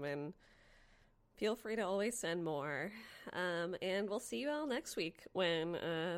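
Female podcast host, lowish pitch, casual and conversational address to listeners.

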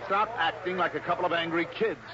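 A man's voice: fairly high pitch, fast, a crowd in the background, natural voice. An actor playing a level-headed male investigator in an old-time radio detective drama, asking clipped, probing questions. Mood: firm, impatient. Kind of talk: old-time radio drama acting with first-person narration. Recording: dull, noisy old radio recording.

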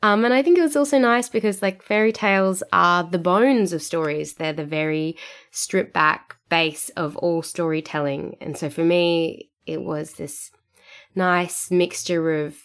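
A woman's voice: fairly low pitch, a little slow, clean tone. An Australian woman, a writer and performer, chatting enthusiastically as a podcast guest. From Australia (Sydney). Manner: chatty and enthusiastic, often laughing. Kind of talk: casual podcast interview.

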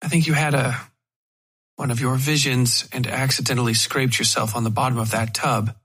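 A man voicing a caring, professional woman in an audio horror story, speaking with measured, placating concern. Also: medium pitch, level tone, fairly bright tone, breathy edge. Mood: concerned, clinical.

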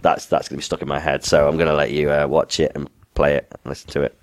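A man co-hosting a casual chat, his voice croaky from being unwell, talking enthusiastically with many restarts. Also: a bass voice, little pitch movement, fast talker, no room sound. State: croaky from illness. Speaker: podcast co-host.

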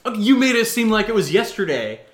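A man co-hosting a podcast in casual banter, animated and playfully teasing as he answers listener questions. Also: high-pitched, quick pace, crowd noise. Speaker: podcast co-host. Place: indoor room, live-streamed session. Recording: roomy, echoing room sound.

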